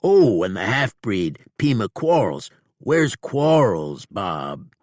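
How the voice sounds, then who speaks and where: A male narrator gives voice to a grinning bounty hunter who speaks with a slow, taunting drawl. The voice is low, unhurried and close-miked in a dry booth. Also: big pitch swings, darkish tone, no room sound, exaggerated voice. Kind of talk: audiobook character voice.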